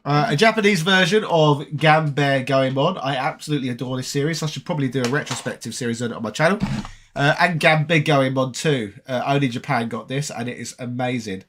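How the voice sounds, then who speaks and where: A British man with a tired, raspy voice, chatting casually on a live stream and reading viewer comments aloud. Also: medium pitch, a little fast, fairly bright tone. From Britain. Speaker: retro gaming streamer.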